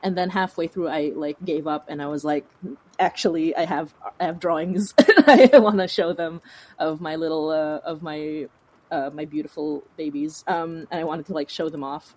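Low-pitched woman, chatty and informal. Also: a little mellow. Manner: chatty, informal, laughs while talking, thinks aloud. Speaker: interview guest, fiction podcast creator. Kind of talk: casual conversational interview.

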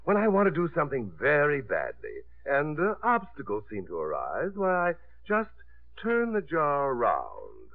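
An actor playing a wry, teasing, persistent sculptor and suitor in a 1950s radio drama. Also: male voice, on the high side, huge pitch swings, normal speed. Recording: tinny, band-limited 1950s radio broadcast.

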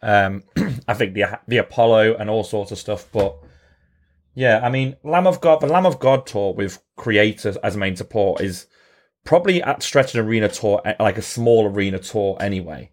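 A man's voice: fairly low pitch, expressive, normal speed. A man in a casual podcast chat, explaining things in detail with a dry sense of humour.

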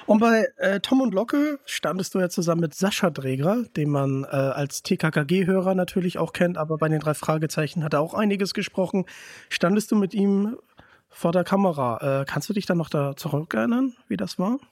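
A man's voice: sits high, animated, brisk, darkish tone, no echo. A man hosting a podcast, chatting casually as he interviews a guest. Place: kitchen.

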